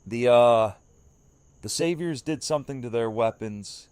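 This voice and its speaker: A man playing a weary male survivor leader in a fan audio drama, talking in a tired, steady way. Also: medium pitch, measured pace, clear, resonant tone, no echo, lightly acted. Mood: grim.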